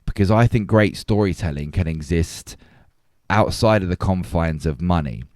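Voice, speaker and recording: A man's voice: sits low, normal speed, a little mellow, dry recording, studio quality. A man hosting a podcast, arguing his points emphatically in a conversational film debate. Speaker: podcast host.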